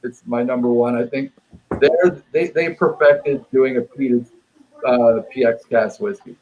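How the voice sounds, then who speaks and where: A man's voice: medium pitch, measured pace, dark tone, highs cut off, slight background noise. A man from Quebec who reviews whisky, chatting as a guest on a live stream. He talks in an opinionated, emphatic way and repeats words when he gets going. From Quebec, Canada. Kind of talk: casual live-stream chat.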